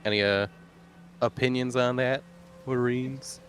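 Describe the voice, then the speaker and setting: A man's voice: mid-range voice, steady tone, unhurried, fairly bright tone, a little hiss or hum. A man playing a tabletop roleplaying game, speaking in a conversational, slightly tentative way. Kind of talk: casual in-character roleplay chat.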